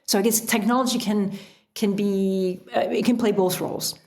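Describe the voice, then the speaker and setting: Woman with a slightly creaky voice. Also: mid-range voice, fairly even intonation, moderate pace, a little mellow. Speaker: podcast guest, author and sailor. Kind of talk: casual interview talk.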